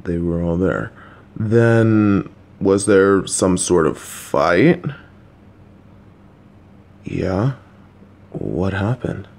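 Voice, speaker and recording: A man's voice: deep voice, animated, very slow, gravelly, pauses often, darkish tone, exaggerated voice. A man voicing a patient, slightly clueless, supportive middle-aged father who coaxes and reassures his child, with jokey asides. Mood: calm, coaxing. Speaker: voice actor in an audio roleplay. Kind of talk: listener-directed audio roleplay.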